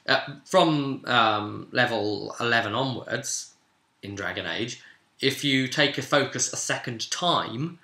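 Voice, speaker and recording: A man's voice: mid-range voice, bright, forceful tone. A man, a game master, gives a casual, rambling recap of a tabletop role-playing session. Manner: rambling, chuckling storyteller who acts out dialogue. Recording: echoey room sound.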